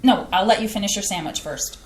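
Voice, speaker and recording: A woman playing an excitable, fussy Midwestern housewife and mother in a staged play reading. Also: mid-range voice, fast, reverberant, a little hiss or hum, close to an everyday voice. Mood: pleading. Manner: flustered, fussy and eager. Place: theatre stage.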